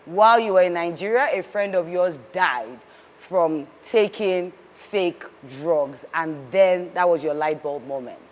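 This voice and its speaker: A woman host asks her guest questions in an animated, encouraging way, often restarting her sentences. Her voice sits a little low, and the room around it rings with a long reverb. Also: unhurried. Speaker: podcast host, interviewer. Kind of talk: interview questions.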